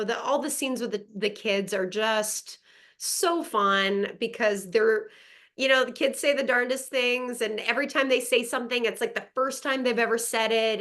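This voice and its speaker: A woman with a crisp, bright voice pitched a little high chats in a relaxed interview from home. She grew up in Montreal speaking French first. Her talk is upbeat and full of easy laughs over a video call. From Montreal, Canada. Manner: chatty, upbeat, laughs often. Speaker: actress guest. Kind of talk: casual interview chat. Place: home in Los Angeles.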